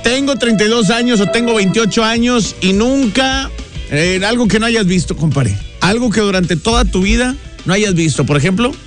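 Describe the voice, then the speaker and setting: Mexican guy from the north doing radio, high voice, slangy and hyped up, repeats himself, music underneath. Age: 30s. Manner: slangy, exuberant, repeats phrases for emphasis. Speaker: radio host. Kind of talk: lively casual radio show talk. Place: radio studio.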